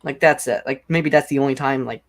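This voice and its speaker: A young man with a deep voice hosting a casual livestream, rambling and filling nearly every phrase with "like". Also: a little fast. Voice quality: self-described deep voice. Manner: rambling, heavy use of "like". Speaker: livestream host.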